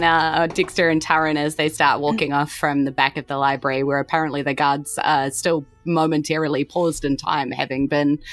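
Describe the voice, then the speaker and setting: A woman's voice: sits low, a bit bright. A woman improvising narration as a game master, informal and descriptive.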